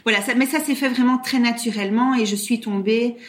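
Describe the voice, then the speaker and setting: A woman in her thirties from Brussels with a Belgian French accent, talking as an interview guest, often pausing on "euh". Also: on the high side, fairly even intonation. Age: 30s. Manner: hesitant, many "euh" fillers. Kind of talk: casual interview talk.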